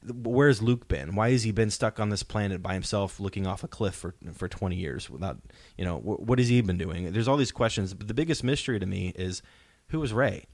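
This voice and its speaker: A man's voice: on the low side, fairly quick, no echo. A man hosting a podcast in casual pop-culture discussion, explaining his points and posing rhetorical questions. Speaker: podcast host. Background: soundtrack music playing faintly.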